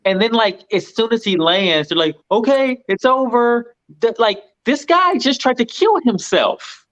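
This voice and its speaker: A woman's voice: fairly high pitch, expressive, unhurried, dull audio. A woman chatting casually about a film in a blunt, slangy, incredulous way.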